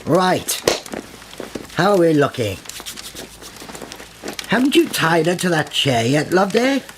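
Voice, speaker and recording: Through clear background noise comes a villainous lord of old radio drama, acted by a man. He is suave, pompous and sardonic, giving orders in slow, widely swinging phrases with menace beneath them. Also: a little high, very animated. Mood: impatient, commanding. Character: haughty villainous lord leading an occult ritual, suave and menacing. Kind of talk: old-time radio play acting. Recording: noisy recording with clicks.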